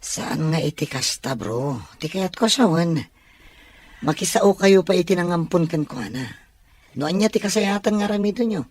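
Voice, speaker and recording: A man's voice: high voice, expressive, normal speed. A man with an Ilocano accent playing a cautious, considerate young friend giving advice in an audio drama. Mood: earnest. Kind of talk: radio drama acting.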